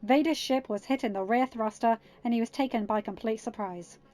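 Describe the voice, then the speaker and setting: A woman's voice: a little high, brisk, warm tone. A woman reading scripted fan fiction narration as an audiobook reader.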